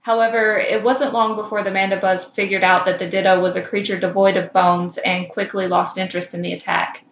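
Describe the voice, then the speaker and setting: A woman reading a somber, measured first-person story as a small, cute wild creature who recalls its cursed kind. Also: medium pitch, level tone, close to an everyday voice. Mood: grim, measured. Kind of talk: scripted first-person fan fiction reading. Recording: muffled, roomy, noisy home recording.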